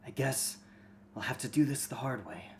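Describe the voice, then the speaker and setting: A man's voice: medium pitch, lively intonation, normal speed, darkish tone, a little hiss or hum, natural voice. A man playing an eager, naive young fantasy adventurer in a story reading, earnest and full of questions. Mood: hushed wonder. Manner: earnest, inquisitive.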